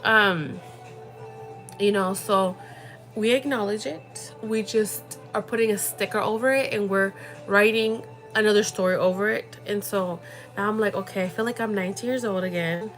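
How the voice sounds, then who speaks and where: A woman's voice: mid-range voice, fairly even intonation, moderate pace, fairly bright tone, faint music behind, low-quality recording. A young woman hosting a casual livestream, talking in a rambling way with lots of fillers and the odd Spanish word. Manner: rambling, full of fillers, slips into Spanish words. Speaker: livestream host.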